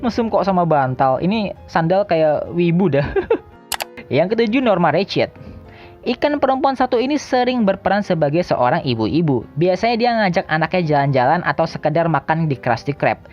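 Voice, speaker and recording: Low-voiced woman, casual countdown narrator. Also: lively intonation, moderate pace, darkish tone, highs cut off. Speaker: video host. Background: background music.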